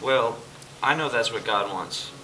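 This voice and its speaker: A man playing a calm, patient Jesus. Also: mid-range voice, a little slow, slight background noise. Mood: earnest, patient. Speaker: church play actor. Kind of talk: amateur church stage play. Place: church hall stage, indoors. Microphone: far camera. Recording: distant camera with music behind.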